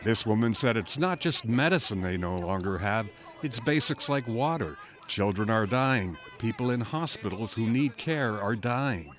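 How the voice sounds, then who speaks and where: A man's voice: fairly low pitch, lively intonation, normal speed, few pauses, music in the background. A man narrating a scripted television news report as a correspondent.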